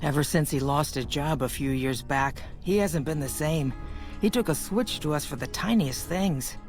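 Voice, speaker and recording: A woman's voice: low-pitched, moderate pace, a little mellow, faint music behind, close to an everyday voice. A woman playing a bitter captive mother in a video game, both pleading and defiant. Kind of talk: video game dialogue acting.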